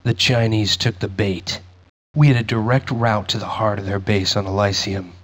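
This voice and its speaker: A man plays a grim veteran soldier looking back on a war for a video game. His voice is low and his delivery solemn and measured, like a scripted memoir. There is some noise behind him. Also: steady tone, natural voice. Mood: grim, reflective. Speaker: narrator.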